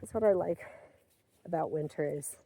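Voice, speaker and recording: A woman's voice: sits low, normal speed, gravelly, mellow, husky, no room sound. A woman telling a rambling, chatty story outdoors in the snow, a little short of breath from the cold. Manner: rambling, chatty, with many fillers and quoted asides. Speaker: vlogger. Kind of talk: casual outdoor vlog storytelling. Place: outdoors on a snowy rural property.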